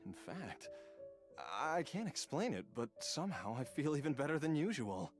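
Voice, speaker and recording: A man's voice: a little high, very animated, normal speed, music in the background. An actor playing a grateful, mild-mannered father recovering from illness in a video game, speaking hesitantly with sighs. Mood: grateful, puzzled.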